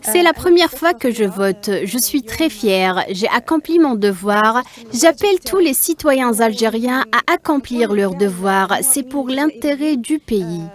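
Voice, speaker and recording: Female voice-over reader, higher pitch, measured translation delivery. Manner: even, measured reading.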